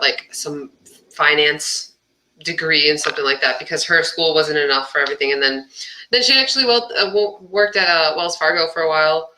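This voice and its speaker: Bright, chatty young woman musician. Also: low-pitched, low-quality recording. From born in Albania, raised near Boston. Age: young adult. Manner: chatty, fillers of "like", laughs often. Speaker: musician guest. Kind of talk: casual interview chat.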